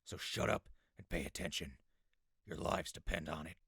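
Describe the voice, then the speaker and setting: An actor playing a gruff, curt undercover infiltrator among raiders in a post-apocalyptic audio drama, with a rough voice. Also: male voice, low-pitched, normal speed, pushed and bright, dry recording, studio quality, heavily acted. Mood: curt, commanding. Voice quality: gravelly, rough. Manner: curt, bossy.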